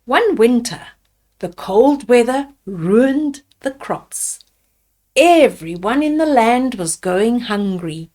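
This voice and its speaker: An older woman storyteller with a fairly high voice reads a children's fairy tale very slowly. She is deliberate in her delivery, pausing to explain things to her young listeners as she goes. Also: very animated, a little mellow. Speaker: storyteller reading children's picture books. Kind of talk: read-aloud bedtime fairy tale with asides to children.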